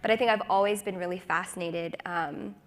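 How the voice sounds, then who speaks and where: A woman on a writers' panel, eager and quick to laugh, with lots of fillers. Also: on the low side, fairly even intonation. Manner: enthusiastic, laughs often, many fillers. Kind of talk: panel discussion. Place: conference stage.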